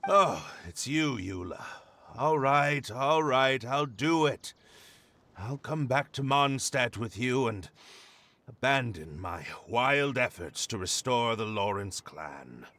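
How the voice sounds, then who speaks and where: A man's voice: medium pitch, expressive, slow, pushed and bright, no echo. A man playing a penitent young noble in a video game cutscene, hesitant, formal and earnest. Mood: reluctant, contrite. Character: penitent young male noble, disoriented and weary.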